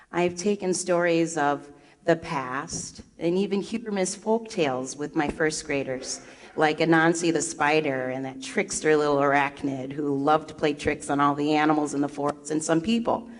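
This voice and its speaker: A woman from Liberia, a first grade teacher, giving an expressive storytelling talk at a live event on a stage mic. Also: sits low. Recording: echoey hall.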